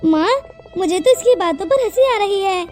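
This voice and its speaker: A woman's voice: extremely high pitch, animated, normal speed, a little mellow. A woman voicing a poor, plaintive young village woman in an emotional cartoon moral story. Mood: amused, willing. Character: poor, tearful but willing young village woman, Payal. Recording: music behind the dialogue.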